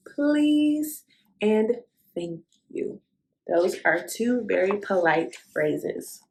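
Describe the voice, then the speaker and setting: Children's read-aloud host, woman, slightly high voice with very lively intonation, encouraging teacherly delivery straight to the young listener. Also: vocal fry. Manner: encouraging, instructive, asks the listener questions and affirms.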